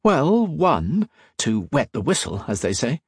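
A man voicing a courteous, inquisitive young gentleman doctor in an audiobook story, with a polite, formal and chatty manner. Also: medium pitch, very animated, unhurried, husky, dry recording, clean studio sound, voice pushed up, heavily acted. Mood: polite, hesitant.

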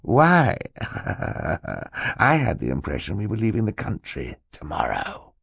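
Man putting on a slow, creaky, sneering villain. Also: lowish voice, wildly swooping pitch, fairly bright tone, no echo, studio quality, full character voice. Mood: feigned surprise. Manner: slow, sneaky, menacing. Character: sly, menacing villainous man, sneering and sinister.

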